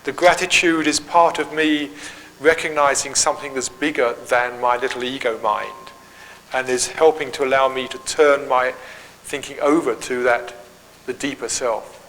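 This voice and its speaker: Calm man speaking in a reverberant hall. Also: mid-range voice, moderate pace. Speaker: workshop leader. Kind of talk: conference Q&A and talk. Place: conference hall. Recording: hall reverb, faint ambient noise.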